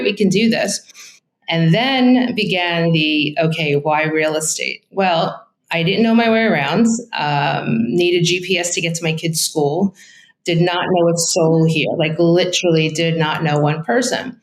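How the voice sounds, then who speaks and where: A middle-aged woman with a thick Brooklyn accent who grew up speaking Italian, telling her story in a loose, chatty way full of asides. Her voice sits on the low side for a woman. The room around her is noticeably echoey. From Brooklyn, New York. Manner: chatty, candid, many fillers and asides. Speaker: real estate agent. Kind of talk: casual interview.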